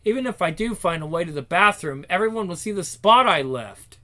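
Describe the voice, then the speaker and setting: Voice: mid-range voice, lively intonation, a little fast, bright, forceful tone. A performer voicing a troubled, sarcastic, self-conscious young teenage girl in a dramatic inner monologue for a story. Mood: panicked.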